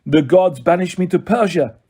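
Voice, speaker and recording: A man's voice: high voice, normal speed, dark tone, husky. A man voicing a pompous, menacing male manticore villain with a French accent in a storybook reading. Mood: bitter, boastful. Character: gloating villainous male manticore in military disguise.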